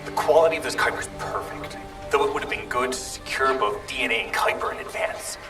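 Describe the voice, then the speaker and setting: An actor playing an intense, scheming defector in a game, smug and self-absorbed, as heard on a captured recording. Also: male voice, medium pitch, fairly quick, close to an everyday voice. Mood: smug, excited. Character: intense, scheming male defector gloating over discoveries. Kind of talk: scripted game dialogue. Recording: echoey distant captured recording, music behind.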